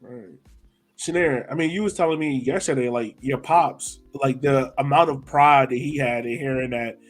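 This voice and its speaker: A man with a mid-range voice co-hosts a casual podcast conversation. He speaks in a relaxed, earnest way, leaning on easy fillers as he makes his case. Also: medium pitch, moderate pace, soft background music. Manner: relaxed, earnest, many "like" and "you know" fillers. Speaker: podcast co-host.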